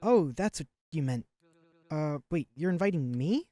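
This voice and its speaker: A man's voice: on the high side, expressive, normal speed, dark tone, dry recording, lightly acted. A man voicing a meek, put-upon young male waiter, reading game lines hesitantly. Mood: flustered. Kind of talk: voicing game dialogue during a playthrough.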